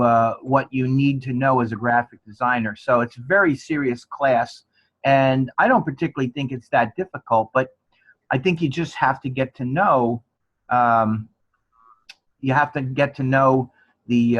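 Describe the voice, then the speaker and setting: A man's voice: mid-range voice, a bit bright. A man with a New Jersey accent teaching an informal online class in a chatty, encouraging way. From New Jersey, USA. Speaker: college instructor. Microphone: online class call. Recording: dull, band-limited online class audio.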